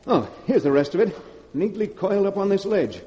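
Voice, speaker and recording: A tense, guilty man caught in a cave in a 1940s radio horror drama. His voice is very dark and rounded, with urgent, theatrical delivery. The old recording is muffled and carries a long, cavernous reverb. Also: high-pitched, very animated, fairly quick. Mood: nervous, alarmed.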